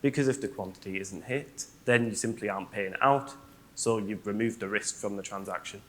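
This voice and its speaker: A man with a fairly low but bright, forward voice explains his views on a conference panel. He goes step by step and keeps checking in with his listeners. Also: brisk. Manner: explanatory, often checks with "right?". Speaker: business excellence manager.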